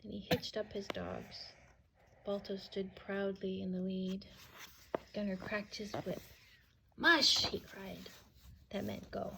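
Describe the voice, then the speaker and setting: A woman's voice: mid-range voice, expressive, slow, vocal fry, pauses often, noisy background. A woman reading a storybook aloud and chatting casually alongside a child.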